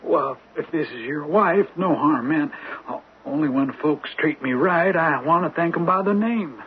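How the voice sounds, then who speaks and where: A man's voice: fairly high pitch, normal speed, natural voice. A man in a radio drama playing a folksy, rambling American detective who poses as an affable hunter. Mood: ingratiating. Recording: thin phone-like old radio, noisy.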